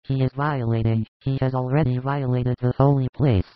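A man's voice: medium pitch, fairly even intonation, moderate pace, no echo, natural voice. A man reading aloud and voicing a shocked, indignant male disciple. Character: alarmed tall male disciple. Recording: tinny phone-like dry recording.

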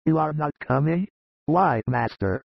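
A man's voice: high voice, measured pace, creaky, no room sound, dull audio, pitched above the performer's own voice. A man voicing an indignant, talkative disciple of Jesus in a dramatised scripture audiobook, with an emphatic, complaining delivery. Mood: surprised, distressed.

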